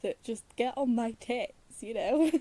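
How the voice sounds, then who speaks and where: A woman's voice: a little high, slow pace, mellow. A woman with a British accent giving casual, opinionated, wry commentary as she plays a game. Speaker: game streamer.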